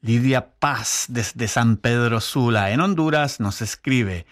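A man co-hosting a radio program, reading listener messages in a clear announcer style. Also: medium pitch, takes it slowly. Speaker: radio co-host. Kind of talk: scripted radio presentation.

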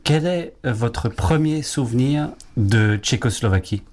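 A man's voice: medium pitch, animated, measured pace, a little mellow. A male interviewer asking brief, direct questions.

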